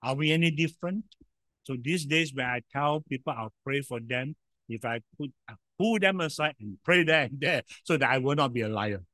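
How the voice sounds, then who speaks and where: A man preaching a sermon in a loud voice, emphatic, with sudden swings in volume and frequent rhetorical questions. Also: mid-range voice, lively intonation, normal speed, no echo.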